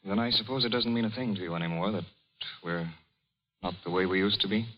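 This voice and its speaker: A man acting as a jealous young gentleman in a vintage radio play. He speaks low, formally and earnestly, through a dull, lo-fi recording. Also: quick pace, natural voice. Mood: pleading, jealous. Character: stiff, jealous young upper-class former suitor. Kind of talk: old-time American radio drama acting.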